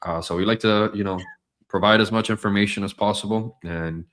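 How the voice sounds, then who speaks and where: A hoarse man co-hosting a business podcast, talking in a rambling way with many fillers. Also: lowish voice, fairly even intonation, fairly quick, fairly bright tone. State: hoarse, losing his voice. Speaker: podcast co-host. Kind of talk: casual business podcast chat. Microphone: studio mic.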